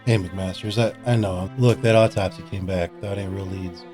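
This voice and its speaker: A man plays a sardonic, worn-out police detective in a noir radio play. His voice is low and mellow, with a dry, muttering weariness. Music plays underneath. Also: steady tone, fairly quick, lightly acted. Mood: tired, dry. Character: weary, sardonic male police detective haunted by a case. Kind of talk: noir radio drama acting.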